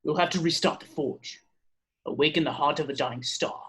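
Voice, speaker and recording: A solemn, proud dwarf weaponsmith, read by a man in a fantasy script read-through. The voice sits fairly high for a male figure. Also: normal speed, fairly bright tone, natural voice. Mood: grave, proud. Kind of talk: amateur table read of a film script.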